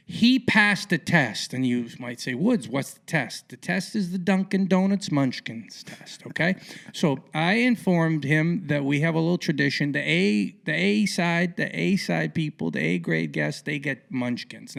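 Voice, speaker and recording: A man hosting a casual podcast interview in a colloquial, theatrical way with punchy, emphatic phrasing and a gravelly voice. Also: sits high, a bit bright. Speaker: podcast host.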